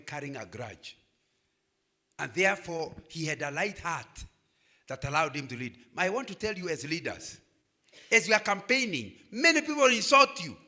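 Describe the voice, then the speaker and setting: Older Kenyan man, lively preacher to students, bright and high-pitched. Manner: animated preaching, asks "Am I communicating?". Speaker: guest speaker.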